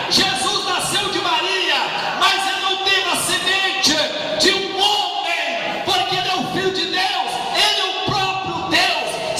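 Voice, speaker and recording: A man preaching a Pentecostal sermon at full voice, calling out hallelujahs. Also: very high voice. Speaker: pastor. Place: large church hall. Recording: echoey hall recording from far off. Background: music playing behind.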